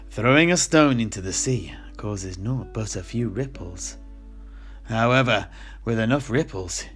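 Worldly tavern keeper played by a man, pompous and musing fantasy storyteller, music faintly behind. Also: lowish voice.

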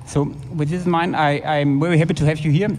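Halting higher-voiced man hosting a podcast. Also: fairly even intonation, darkish tone, slight background noise. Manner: halting, hesitant delivery. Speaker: podcast host. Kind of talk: live podcast introduction. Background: music.

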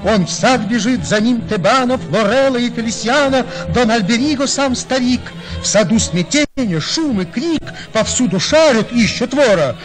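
Casting: lively verse narrator for radio comedy, high male voice, expressive swoops, music behind. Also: moderate pace. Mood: excited. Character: animated storyteller narrating a romantic comedy.

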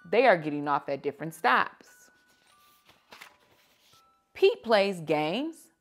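A woman reading picture books aloud to children like a teacher, with animated, drawn-out emphasis. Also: medium pitch. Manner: animated, drawn-out emphasis, asking listeners questions. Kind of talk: expressive children's story read-aloud.

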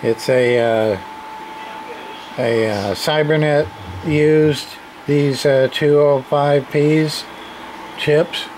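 A man's voice: on the high side, steady tone, extremely slow, long pauses, darkish tone. A man casually narrating a hands-on radio repair demonstration in terse, offhand, folksy fragments. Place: indoor home workshop bench. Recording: noisy room with radio static. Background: CB radio noise.

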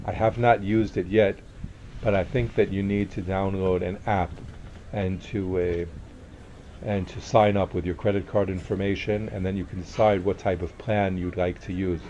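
A man narrating a casual walking tour outdoors as a tour guide, relaxed and full of fillers and restarts. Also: sits low, normal speed, highs cut off, a little hiss or hum. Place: outdoor market compound with wooden walkways.

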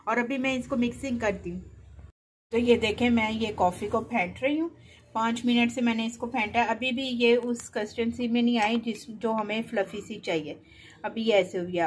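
A woman's voice: on the high side, fairly bright tone, slight background noise. Chatty woman teaching a home recipe. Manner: chatty, instructive, repeats steps patiently. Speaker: cooking channel host. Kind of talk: home cooking tutorial narration. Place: home kitchen counter.

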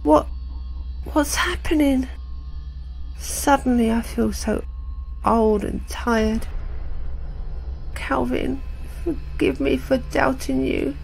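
A woman's voice: high-pitched, slow, gravelly, pauses often, a little mellow, a little hiss or hum, full character voice. A woman voicing a frightened young woman who suddenly grows old, speaking in an anxious, faltering way.

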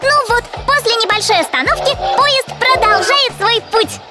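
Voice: very high voice, moderate pace. A performer voicing a curious, cheerful little girl know-it-all in an educational children's cartoon, eagerly explaining things. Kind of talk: scripted educational cartoon dialogue. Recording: children's music behind the voice.

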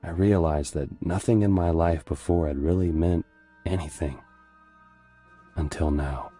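A man playing a reflective young American army squad leader, narrating in a measured, introspective way. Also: low-pitched, fairly even intonation, mellow, soft background music. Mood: somber, reflective.

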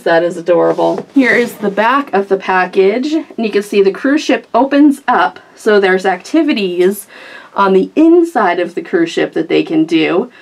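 A woman hosting a casual toy review, talking through it in an enthusiastic, gushing manner. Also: mid-range voice. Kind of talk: casual unboxing and demonstration chat.

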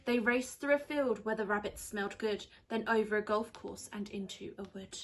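A young woman reads a rhyming children's storybook aloud in an expressive, teacherly way. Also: mid-range voice, slight background noise, rough recording. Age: young adult. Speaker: primary school teacher reading aloud. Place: small indoor room on a sofa.

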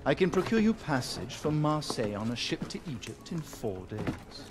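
An actor playing a witty, self-assured aristocratic schemer in a video game, urbane and theatrical. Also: male voice, mid-range voice, big pitch swings, moderate pace, lightly acted. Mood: wry, assured. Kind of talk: video game cutscene acting. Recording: music underneath.

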